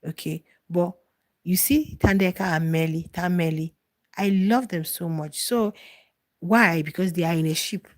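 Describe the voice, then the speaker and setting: A woman's voice: lowish voice, measured pace. A middle-aged woman talking casually on a live stream about a reality show, answering viewers' comments and repeating phrases for emphasis.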